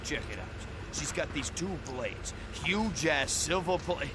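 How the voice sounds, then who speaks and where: Animated, chatty dim henchman. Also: fairly high pitch, unhurried, heavy background noise. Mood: awed gossip. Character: jumpy, chatty, dim male henchman lying in ambush. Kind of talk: scripted video game acting.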